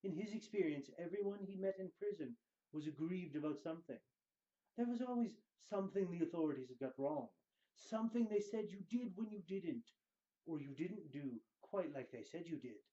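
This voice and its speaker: A man giving a hammy, grandiose dramatic reading, drawing out his phrases with theatrical pauses. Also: fairly high pitch, animated, mellow, highs cut off.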